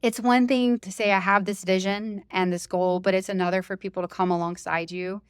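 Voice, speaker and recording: A woman who hosts a running podcast, talking as an interview guest in a loud, emphatic, enthusiastic way and laughing easily. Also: medium pitch, level tone, fairly quick, smooth, dry recording, studio quality. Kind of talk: casual interview chat.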